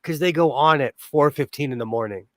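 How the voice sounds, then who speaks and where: A man's voice: on the high side, a little fast, a bit bright, no echo, studio quality. Chatty man from Southern California. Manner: chatty storyteller, laughs often. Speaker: co-host of a live sewing stream. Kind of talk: casual chat.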